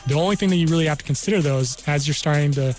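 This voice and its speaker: An American man, a guest on a radio interview, explaining things in a measured, halting way. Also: a little high, a little mellow. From New Mexico, United States. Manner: halting, measured, repeats "you know". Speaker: guest, army career counselor. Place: radio studio. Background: music.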